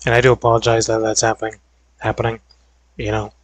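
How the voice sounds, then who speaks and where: Young male creator, rambling filler-heavy chat, voice going hoarse, dry close sound. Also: on the low side, completely flat delivery, quick pace, no echo. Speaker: video creator. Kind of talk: casual gaming vlog chat.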